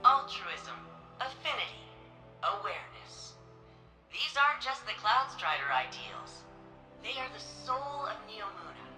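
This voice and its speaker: An eloquent archivist of a futuristic game city, guiding visitors through a memorial hall. The voice is very bright and projected, carried on a roomy echo with music behind. The delivery is stately, polished and reverent, like a scripted museum recording. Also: female voice, mid-range voice. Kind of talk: scripted museum tour narration.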